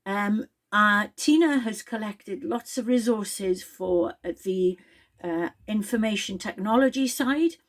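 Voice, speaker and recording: A middle-aged woman from Wales with a Welsh accent, a native Welsh speaker, speaking to camera in English as a project leader in a slow, deliberate and clearly enunciated way. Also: medium pitch. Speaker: project leader addressing participants. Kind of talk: direct-to-camera address.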